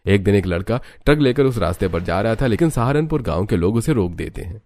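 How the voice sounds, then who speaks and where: A man with a low voice narrates a scripted cartoon story. The sound is dry and close, with no room around him. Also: expressive, a little fast, darkish tone, no room sound.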